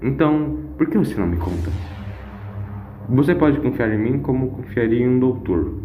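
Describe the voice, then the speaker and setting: A man's voice: mid-range voice, normal speed, lo-fi sound, a little hiss or hum, pitched above the performer's own voice, close to an everyday voice. A man voicing a wise, moral grandfatherly time-traveller in an audio story, speaking emphatically and persuasively. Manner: emphatic, coaxing, with questioning hums. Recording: echoing voice over music.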